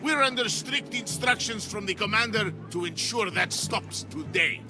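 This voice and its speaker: An actor playing a cruel, greedy guard in a video game, with a menacing, sneering delivery. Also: male voice, high voice, expressive, normal speed, music in the background. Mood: smug, menacing. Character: cruel, greedy male guard, bullying extortionist. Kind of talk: video game cutscene acting.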